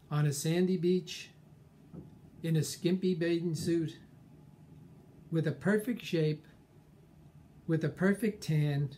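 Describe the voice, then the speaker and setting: An older man in his sixties or beyond giving a reflective scripted monologue on personal growth, phrasing it deliberately with measured pauses. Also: a little high, mellow. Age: 60s or older. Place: small furnished room at home, indoors.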